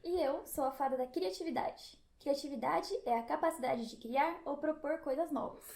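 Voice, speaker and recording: A woman plays a motherly fairy in an audio drama. Her voice is high, and her manner is dramatic and quick to take offence. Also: gravelly, a little mellow. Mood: cheerful. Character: indignant, motherly fairy of creativity. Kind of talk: audio drama stage acting.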